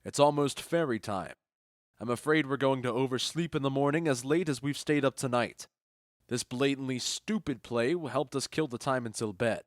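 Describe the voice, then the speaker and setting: A man's voice: medium pitch, normal speed, dry recording, studio quality, lightly acted. A man playing a wry, genial noble duke in an audio drama, assured and courtly. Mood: wry amusement. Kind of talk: audio drama Shakespeare in modern English.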